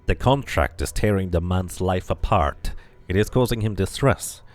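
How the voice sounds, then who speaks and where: A man's voice: low voice, moderate pace. A man streaming a game, switching between casual commentary and reading the story text aloud as narration.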